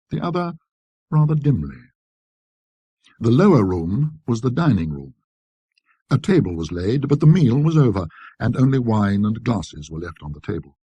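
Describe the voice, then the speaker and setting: Male narrator, husky, very dry close sound, precise, scholarly, measured ghost story reading. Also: medium pitch, warm tone, no room sound, dull audio.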